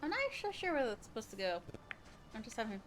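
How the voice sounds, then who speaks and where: A woman's voice: fairly high pitch, big pitch swings, quick pace, noisy background. A woman livestreaming a video game, giving casual play-along commentary in an offhand way, often muttering to herself. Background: game music.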